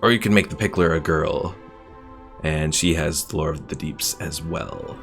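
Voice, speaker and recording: Low, creaky-voiced man streaming a game. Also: normal speed, a bit bright. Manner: rambling, thinking aloud. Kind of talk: casual gameplay commentary while chatting with viewers. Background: game music.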